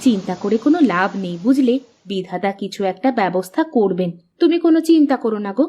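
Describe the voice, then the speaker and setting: A woman's voice: medium pitch, animated, fast talker, warm tone, slightly rough, dull audio. A woman voicing a practical, reassuring village housewife in a colloquial cartoon folk tale. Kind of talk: acted cartoon dialogue.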